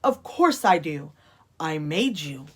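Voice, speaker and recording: Woman voicing a slow, gentle bearded woodcarver. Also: deep voice, sing-song, slight background noise, voice pushed down, exaggerated voice. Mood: thoughtful. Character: large, kindly, reassuring old bearded male woodcarver.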